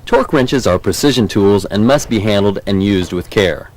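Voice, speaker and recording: A low-pitched American man narrates an instructional film. His delivery is measured and formal, explaining each step as written in a script. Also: animated, slight background noise. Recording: 1990s VHS training film soundtrack.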